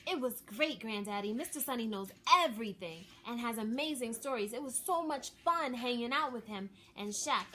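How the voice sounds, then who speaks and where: A woman's voice: on the high side, lively intonation, normal speed, few pauses, sharp tone, slight background noise, voice pushed up. A woman voicing an eager, polite, earnest young boy in a children's storybook.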